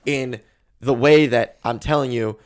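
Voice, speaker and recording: A man hosting a casual podcast, talking bluntly and swearing freely, with his voice getting hoarse. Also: mid-range voice, unhurried. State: losing his voice. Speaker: podcast host. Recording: music bed behind the voice.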